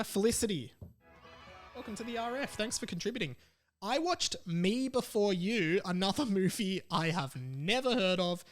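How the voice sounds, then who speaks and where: A young man with an Australian accent and a fairly high-pitched voice hosts a podcast on his own. He talks in a casual, chatty way, moving between reading messages aloud and adding his own informal comments. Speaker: podcast host.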